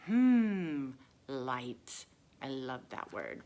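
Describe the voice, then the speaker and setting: A woman voicing a sweet, excitable lady who reads to children, drawing out words with exaggerated wonder. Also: a bass voice, drawn-out words, slight background noise, full character voice. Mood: tender, emphatic. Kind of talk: children's story reading.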